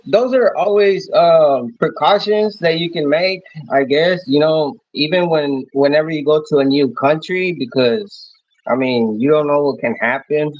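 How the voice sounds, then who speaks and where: A man in his thirties from Mexico chats with viewers on a livestream. He talks in a halting, rambling way and keeps restarting his phrases. The sound is dry and close, with dull, muffled audio. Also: fairly high pitch, no echo. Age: 30s. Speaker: livestream host. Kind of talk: casual livestream chat answering viewers.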